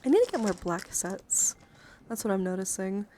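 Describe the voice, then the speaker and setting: A woman's voice: mid-range voice, lively intonation, warm tone. Rambling woman in noisy ASMR stream. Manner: rambling, halting, thinking aloud while searching. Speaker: livestreamer showing a dice collection. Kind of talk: casual ASMR chat to viewers.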